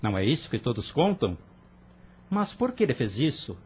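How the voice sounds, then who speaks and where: Guy doing a fired-up young rebel brother, husky voice, pushy and earnest, audio drama. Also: medium pitch, very animated, moderate pace, a little mellow. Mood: persuasive. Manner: fervent, persuasive, earnest.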